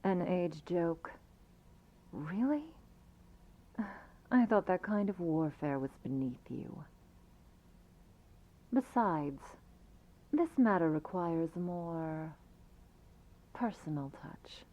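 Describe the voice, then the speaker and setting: Slightly muffled roleplay audio of a woman as a predatory vampire lady. Her voice is silky and condescending, swinging widely in pitch. She drawls slowly and theatrically, like ASMR villainy. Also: expressive. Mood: sly, needling.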